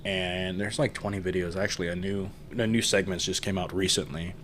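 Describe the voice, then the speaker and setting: A man's voice: low-pitched, fairly even intonation, brisk, a bit bright, a little hiss or hum. A man hosting a podcast in casual, informal conversation. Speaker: podcast host. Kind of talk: casual chat.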